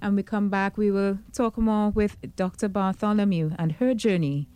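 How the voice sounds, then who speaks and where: Woman talk radio host, mellow lowish voice, keeps saying mm-hmm, dead dry mic. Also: fairly even intonation, moderate pace, clear, resonant tone, no echo. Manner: attentive, frequent affirming murmurs. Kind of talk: radio interview.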